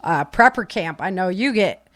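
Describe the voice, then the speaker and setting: A woman's voice: fairly low pitch, animated, normal speed, fairly bright tone, no echo, studio quality. A woman chatting casually and informally as a live podcast host, with breezy, slangy talk. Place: home office.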